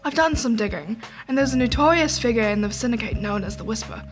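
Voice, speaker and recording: A woman's voice: fairly high pitch, animated, fast talker, over music, close to an everyday voice. A young woman playing an eager, fearless newspaper reporter in a noir radio drama. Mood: keen. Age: young adult. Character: plucky, nosy female newspaper reporter, eager and unafraid. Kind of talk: 1940s radio noir acting.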